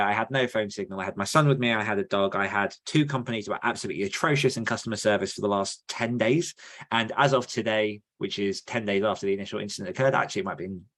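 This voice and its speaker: A man's voice: lowish voice, fairly bright tone. A man co-hosting a podcast in casual chat, talking in a chatty, rambling, self-deprecating way. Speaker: podcast co-host and author.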